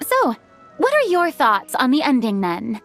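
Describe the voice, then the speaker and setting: A woman voicing a polite, composed woman on a film crew in a video game, speaking in a courteous, measured way. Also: sits high, wildly swooping pitch, fairly bright tone, soft background music, full character voice.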